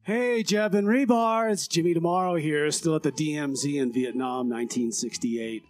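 A man's voice: fairly high pitch, normal speed, soft background music, natural voice. A man playing an upbeat, unflappable traveler in comedy radio theater, reporting disasters in a breezy, casual, singsong letter. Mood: breezily apologetic.

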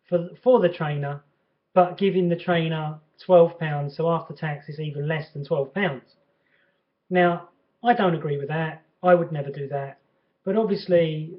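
Higher-pitched man on a dull webcam recording. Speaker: personal trainer. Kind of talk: casual opinion vlog talk to camera. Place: bright furnished living room at home. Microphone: webcam mic at about arm's length.

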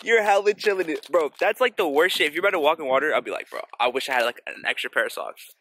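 A man's voice: on the high side, lively intonation, fast talker, sharp tone. Slangy young guy on a noisy shoreline. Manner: laid-back slangy, laughing often, swearing. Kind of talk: casual chat among friends. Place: outdoors on a bay shoreline.

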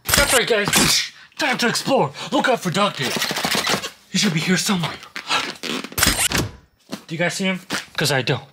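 A man's voice: high voice, sing-song, moderate pace, long pauses, heavy background noise. A man voicing an eager young player who talks in a casual, slightly clueless way. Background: loud music.

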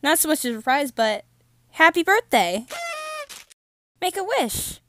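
A woman voicing a sweet, bubbly young female crewmate in a comic dub, with a cutesy, expressive delivery. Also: sits high, a little slow, slight background noise, full character voice. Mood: cheerful. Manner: cutesy, sing-song, expressive.

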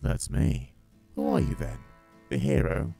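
A man voicing a smug, menacing insect-themed supervillain in a comic dub cartoon, deliberate in manner. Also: mellow, soft background music, lightly acted.

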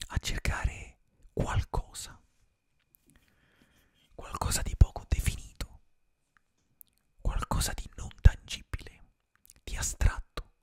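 A young man whispering a bedtime fairy tale for ASMR, close to a studio microphone in a small room. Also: no echo. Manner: slow unvoiced whisper, drawn-out pauses. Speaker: ASMR streamer. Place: small dim room with colored string lights. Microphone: close studio mic, earphones.

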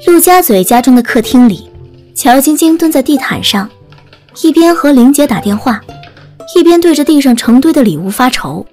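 A woman's voice: high voice, big pitch swings, normal speed, a little mellow, soft background music. A woman narrating a scripted audiobook story.

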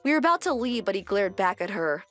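A woman acting an indignant young wife, lively delivery. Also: medium pitch, fast talker, faint music behind, close to an everyday voice. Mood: firm, reflective. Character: fed-up young wife narrating family grievances. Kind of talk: scripted manga dub narration.

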